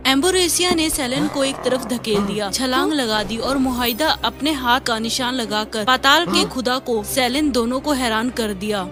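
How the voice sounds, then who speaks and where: A woman reading scripted fairy-tale narration as the storyteller. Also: fairly high pitch.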